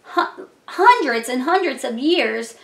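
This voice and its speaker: High-voiced woman teaching children. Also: expressive, slow pace, vocal fry, a bit bright. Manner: simple, explanatory. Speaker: children's puppet show host and teacher. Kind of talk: Bible story told to a child puppet. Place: small decorated room indoors. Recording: echoey room.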